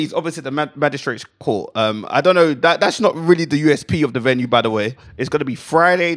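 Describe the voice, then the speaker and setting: A young man in casual comic chat, animated and joking, slangy, repeating phrases for emphasis as a guest comedian. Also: mid-range voice. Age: young adult. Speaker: stand-up comedian, radio guest.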